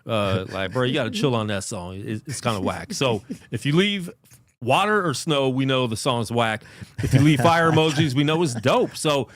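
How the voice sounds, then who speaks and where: A man in his late forties hosting a podcast, speaking at a middle pitch. He talks in an animated way, jumps in over others and repeats words as he goes. The sound is dry and close. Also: moderate pace, dry recording. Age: 40s. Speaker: podcast host. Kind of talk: casual interview chat. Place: podcast studio.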